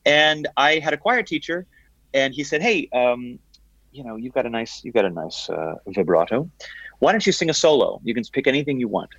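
Baritone guy rambling, laughing at himself, kinda muffled lo-fi audio. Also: sing-song, moderate pace, a bit bright, dry recording. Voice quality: big baritone voice. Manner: rambling, self-deprecating storyteller with many restarts. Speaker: guest. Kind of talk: casual podcast chat.

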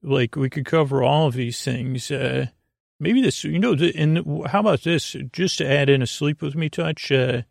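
Man, gravelly creaky voice, dry close sound, halting rambling bedtime monologue, whimsical wordplay. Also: fairly high pitch, dry recording. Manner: meandering, halting, repeats and trails off into tangents; whimsical, gently silly wordplay. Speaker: host of a bedtime sleep podcast.

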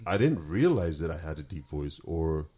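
A man from Sinaloa in Mexico, co-hosting a podcast in loud, animated casual chat and laughing often. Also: rumbling low, moderate pace, husky. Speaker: podcast co-host.

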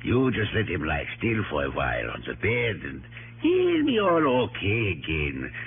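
An actor playing a friendly, eager old German-accented farmhouse innkeeper in a 1960s radio drama, halting and repeating his words. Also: on the low side, huge pitch swings, narrow, tinny audio, full character voice. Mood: reassuring. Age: older. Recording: thin, noisy 1960s radio recording.

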